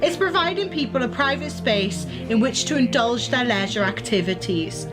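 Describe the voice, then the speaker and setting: A woman's voice: a little high, lively intonation, normal speed, music in the background, close to an everyday voice. A young woman acting a defiant, exasperated grown daughter in a radio play. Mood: defensive.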